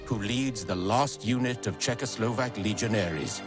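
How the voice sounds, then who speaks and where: Stern, seasoned army major voiced by a man, low voice, measured and formal delivery, game music underneath. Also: natural voice. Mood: solemn. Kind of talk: scripted game voice-over. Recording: game audio with music behind.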